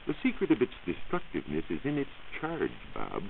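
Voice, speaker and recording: A man's voice: medium pitch, wildly swooping pitch, a little fast, vocal fry. A man with an American accent explaining as a science reporter in scripted 1940s radio style. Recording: tinny, lightly hissy 1940s radio recording.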